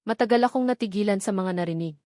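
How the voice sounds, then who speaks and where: A woman's voice: medium pitch, quick pace, mellow, no room sound, studio quality. A woman with a Filipino accent reading a dramatic first-person story as an audiobook narrator.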